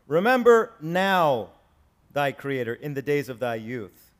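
A man in his forties preaching a sermon to his congregation, swinging between raised, emphatic lines and quiet, conversational asides. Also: medium pitch, big pitch swings, measured pace. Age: 40s. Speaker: pastor. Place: church sanctuary with congregation.

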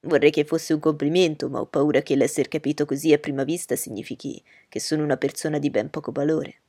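A woman voicing a cheerful, impulsive young gentleman, speaking in a slightly lower, emphatic way, with a gravelly, husky voice. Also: steady tone, fast, no room sound, clean studio sound. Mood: eager. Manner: eager, emphatic.

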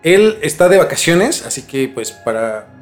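A man's voice: on the high side, very animated, a little slow, faint music behind. A man hosting a mystery podcast in a conversational presenting style. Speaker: podcast host.

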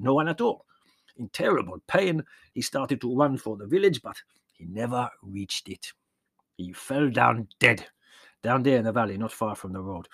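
A man voicing a toothless old shepherd who tells gruesome tales slowly and with relish. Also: medium pitch, fairly bright tone, breathy edge, no echo. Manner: slow, dramatic, relishing gruesome detail. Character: toothless old male village shepherd, gossipy storyteller. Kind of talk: audiobook character voice.

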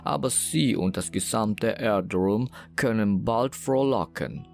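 A man playing an unctuous occultist and former cultist in a horror audio drama, speaking in a deliberate, pompous, flowery manner. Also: lowish voice, creaky, soft background music. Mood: smug, solemn. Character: unctuous male occultist, former cultist with moon-marked eyes.

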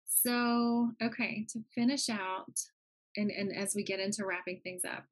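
A woman's voice: mid-range voice, fairly even intonation, measured pace. A woman co-hosting a podcast, working her way loosely through a long interview question. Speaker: podcast co-host.

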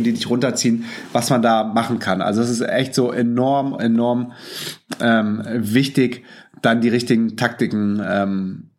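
A man with a cold talks through his podcast in a loose, excited way. His voice sits at an ordinary middle pitch. He uses plenty of slang and filler sounds. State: has a cold. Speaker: podcast host. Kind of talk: casual podcast monologue, reading out listener comments.

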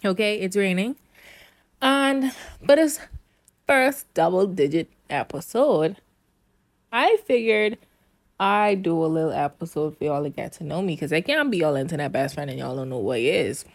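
A young woman with a lowish voice hosts her podcast solo in a relaxed, chatty way. She laughs easily and talks straight to her listeners. The sound is dry and close, with no room echo. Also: moderate pace. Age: young adult. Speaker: podcast host. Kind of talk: casual solo podcast chat. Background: rain.

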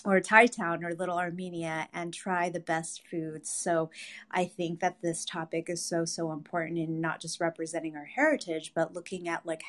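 A woman brand strategist introduces herself to a panel. Her voice sits low and stays very level, and her delivery is measured and dotted with hesitations. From United States, Iowa and Southern California. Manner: measured, with frequent "um" pauses.

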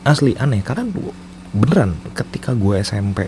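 Deep, mellow Jakarta man chatting casually. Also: very animated, brisk, slight background noise. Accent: Jakarta Indonesian. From Indonesia, Jakarta. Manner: self-mocking, informal slang. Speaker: storyteller host. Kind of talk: casual personal storytelling with English code-switching.

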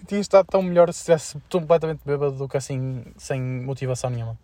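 A young man with a European Portuguese accent co-hosts a casual student podcast. He talks quickly at an average pitch, in a slangy and halting way. The recording is dry, with little room sound. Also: steady tone, dark tone, dry recording. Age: young adult. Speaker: podcast co-host.

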